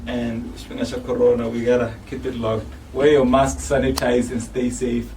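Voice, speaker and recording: A man's voice: medium pitch, flat delivery, normal speed. A man with a South African accent hosting a casual interview in a laid-back, slangy way. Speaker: host. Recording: distant mic with faint noise.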